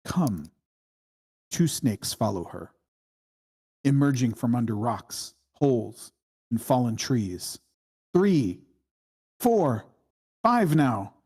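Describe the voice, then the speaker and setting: A narrator reading screenplay stage directions at a table read in measured, deliberate short phrases. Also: medium pitch, very animated, dark tone, slightly rough. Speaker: screenplay reader.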